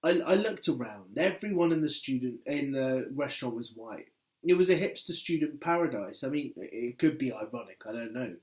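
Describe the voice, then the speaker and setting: Guy reading his own essay out loud, kinda wry and chatty, punches the important words, normal pitch. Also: expressive, a little fast. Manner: wry, conversational, emphatic on key words. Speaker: book editor and essayist reading his work. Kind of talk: live reading of a personal essay.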